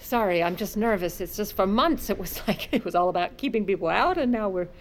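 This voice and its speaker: An actress in her forties playing a sharp-tongued, scheming First Lady in an audio drama, with a sardonic manner. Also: mid-range voice, brisk, lightly acted. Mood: anxious. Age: 40s. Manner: wry, sardonic. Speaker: lead actor. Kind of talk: audio drama acting with wry asides.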